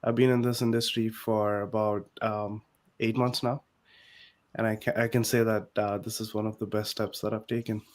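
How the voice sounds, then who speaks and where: A man's voice: on the low side, little pitch movement, normal speed. A man from Ohio, an IT professional and panel guest on a video call, speaking plainly and steadily.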